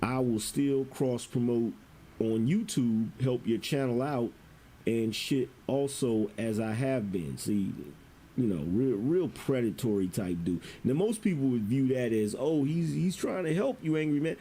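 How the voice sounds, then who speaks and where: Male online commentator, mellow mid-pitched voice, informal slangy storytelling, slight noise behind. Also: lively intonation, measured pace. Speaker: internet commentator. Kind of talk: casual first-person storytelling, quoting conversations.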